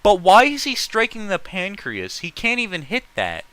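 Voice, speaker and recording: A man's voice: high-pitched, huge pitch swings, normal speed, sharp tone, clear, resonant tone, no room sound. A man reading a story aloud and breaking off for blunt, exasperated, sweary commentary. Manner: exasperated, blunt, incredulous asides. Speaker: host reading fan fiction aloud.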